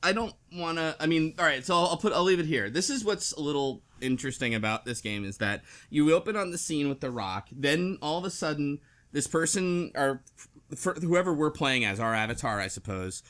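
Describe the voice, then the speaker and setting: A man's voice: on the high side, animated, a little fast, fairly bright tone. A man hosting a casual book club podcast, talking in a rambling, self-correcting way. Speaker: podcast host.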